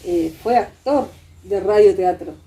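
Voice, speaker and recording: A woman chats as an interviewer, agreeing along in short phrases. Her voice is soft-toned and dark, with a little ambient noise. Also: mid-range voice, a little slow. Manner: agreeing backchannels. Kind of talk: casual interview chat.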